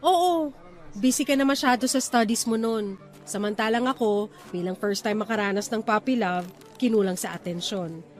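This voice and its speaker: A woman's voice: medium pitch, moderate pace, close to an everyday voice. A woman playing an outspoken, playful young single woman, an old sweetheart, in radio drama dialogue. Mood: bright, friendly. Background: music.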